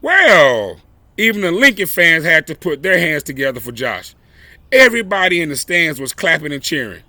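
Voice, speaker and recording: A man reads a story to children in a bright, forceful voice that sits a little high. He takes his time with deliberate, dramatic phrasing. The sound is dry and close. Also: very animated, no echo. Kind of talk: expressive read-aloud of a children's picture book.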